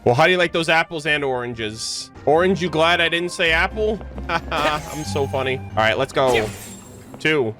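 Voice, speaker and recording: A game streamer chatting casually while playing. The delivery is joking and slangy, with very animated pitch at a medium register. Soft game music sits behind the voice. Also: moderate pace. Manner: playful, slangy, swearing. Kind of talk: casual livestream commentary with joking. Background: video game music.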